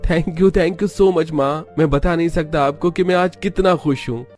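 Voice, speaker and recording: A man's voice: a little high, unhurried, a little mellow, soft background music, lightly acted. A man playing a devoted young son in a cartoon story, speaking earnestly and reassuringly. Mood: grateful.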